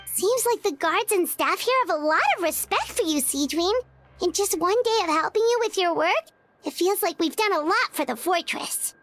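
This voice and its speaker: A chirpy tiny fairy sidekick. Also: female voice, very high-pitched, animated, normal speed, a bit bright. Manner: chirpy and blunt. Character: tiny chirpy floating fairy companion, blunt and curious. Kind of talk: video game voice acting. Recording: game dialogue with background music.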